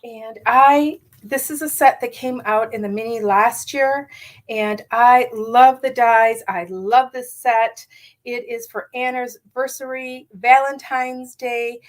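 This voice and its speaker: Chatty woman with a slightly raspy morning voice. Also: a little high. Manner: chatty, enthusiastic, many ums. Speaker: card-making demonstrator. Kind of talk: casual live craft tutorial.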